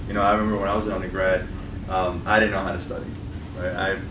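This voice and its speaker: A young man with a Chicago accent, in a slightly low voice, talks casually to a room of students and keeps tagging his points with "right?". He is picked up from across an echoey room, and steady noise runs underneath his voice. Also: fast talker. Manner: conversational, often tags points with "right?". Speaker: guest speaker. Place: meeting room at a college. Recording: echoey room from far off, noisy.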